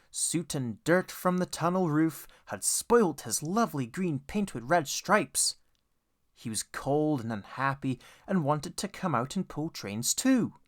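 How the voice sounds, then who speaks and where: A storyteller reading a children's audiobook in a scripted narration style. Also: mid-range voice.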